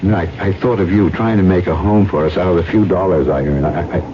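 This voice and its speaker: A man's voice: deep voice, steady tone, fast talker, natural voice. Radio mystery role: poor, beleaguered young man, played by a male actor, pleading and resentful, on a dull, noisy old recording. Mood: brooding, dark. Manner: hesitant, pleading, repeats his words. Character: poor, put-upon young nephew, earnest and resentful.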